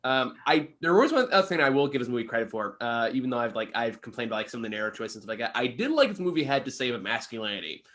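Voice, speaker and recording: Bright-voiced man chatting about movies. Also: medium pitch, big pitch swings, very fast, hardly any pauses. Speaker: film review co-host. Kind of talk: casual movie review chat.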